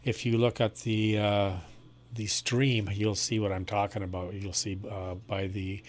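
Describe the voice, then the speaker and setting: A man with a fairly low voice talks casually as a ham radio operator. He explains things plainly and without a script. A clear noise and hum sit behind his voice. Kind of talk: unscripted ham radio conversation, technical advice.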